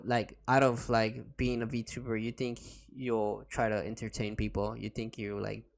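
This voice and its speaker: A man hosting a podcast in casual chat, excitable and loose-tongued, swearing often and restarting his words. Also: mid-range voice. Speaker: podcast host.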